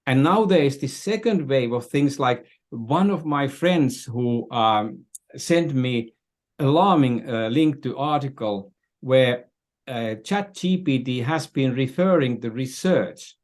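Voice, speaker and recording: Older male interview guest, Finnish accent, mid-pitched voice, halting and repetitive as he explains. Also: animated, darkish tone. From Finland. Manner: halting, searching for words, repeats phrases. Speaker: interview guest, business strategist. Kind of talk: conversational interview, explaining and telling anecdotes.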